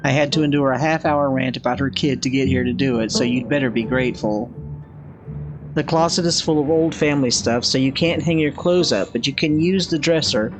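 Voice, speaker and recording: A woman's voice: very deep voice, brisk. An older woman voicing a haughty, grudging female cousin in a visual novel, clipped, dry and dismissive, with music behind. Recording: background music under the voice.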